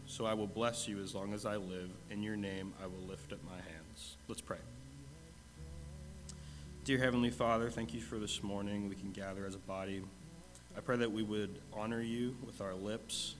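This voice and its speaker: A man's voice: on the low side, background noise. A man with a stuffy, congested nose giving church announcements in a casual, self-deprecating way, then leading a spoken prayer. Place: church sanctuary. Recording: long hall reverb.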